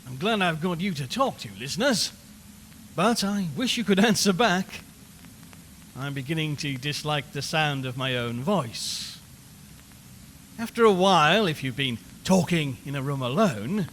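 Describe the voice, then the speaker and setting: A man's voice: fairly high pitch, expressive, unhurried, fairly bright tone. Man acting a nervy radio reporter in echoing room. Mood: uneasy, musing. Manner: rambling, repeats phrases, nervous forced cheer. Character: unnerved male radio reporter, chatty, slowly losing his nerve. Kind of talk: audio horror drama, live broadcast monologue. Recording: long hollow reverb, clear background noise.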